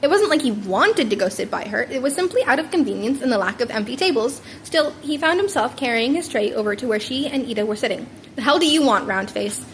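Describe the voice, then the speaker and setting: A woman narrates fiction in a fairly high voice. She reads like an amateur, sometimes repeating a stumbled word. It sounds like a home recording with some noise. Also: animated, quick pace, hardly any pauses. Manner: stumbles over words and rereads them. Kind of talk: narrated story reading.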